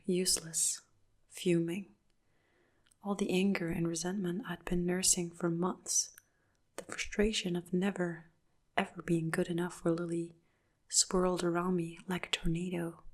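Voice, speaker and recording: A woman narrating a dark first-person story in a soft, hushed whisper throughout. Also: on the low side, level tone, measured pace, long pauses.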